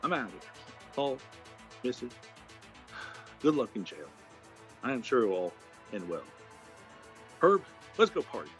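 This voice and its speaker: A man's voice: mid-range voice, sing-song, measured pace, long pauses, a bit bright. A man playing a bitter, fed-up wrestling business veteran in an amateur comedy audio play, muttering in disgust. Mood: bitter, resigned. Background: music.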